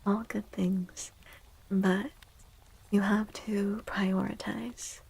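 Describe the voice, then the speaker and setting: Breathy, soft-spoken ASMR woman, very unhurried. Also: medium pitch, poor audio. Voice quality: breathy, often near-whispered. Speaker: streamer host giving tarot readings.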